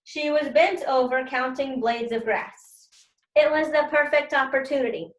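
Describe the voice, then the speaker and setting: A woman teacher reading to children and commenting as she goes, expressive and stressing key words. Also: sits high, normal speed, roomy sound, rough recording.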